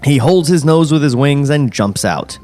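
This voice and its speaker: A man's voice: on the high side, lively intonation, moderate pace, darkish tone, soft background music. A man hosting a podcast, reading the stage directions of a screenplay aloud in a dry, wry way. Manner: dry, wry, with chuckles and sarcastic asides. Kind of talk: script table read, narrating stage directions. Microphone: studio podcast mic.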